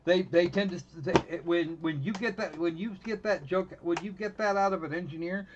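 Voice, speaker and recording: A man hosting a live game stream in casual chat, often halting and restarting his phrases. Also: high voice, dull audio, noisy background.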